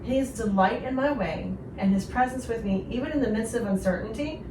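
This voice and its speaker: A woman's voice: fairly high pitch, fairly quick. Echoey, distant woman hosting devotional radio. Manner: earnest, gentle encouragement. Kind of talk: devotional radio talk with co-host. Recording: roomy echo, distant, clearly noisy.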